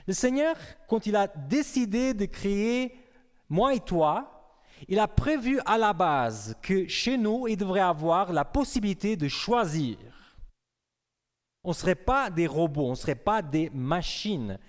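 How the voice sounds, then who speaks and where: Young pastor preaching in an echoing hall. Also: male voice, high-pitched, sing-song, unhurried. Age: young adult. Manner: conversational, asks the congregation questions. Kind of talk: sermon. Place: church hall. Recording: long reverb of a hall.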